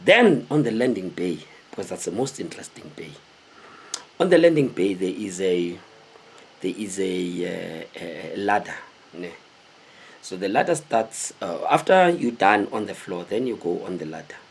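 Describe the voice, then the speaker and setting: A South African man in his thirties tells a story casually to camera, animated and digressive, repeating words for emphasis in a husky voice. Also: low-pitched, normal speed. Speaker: vlogger telling stories. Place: small indoor room with a cloth backdrop.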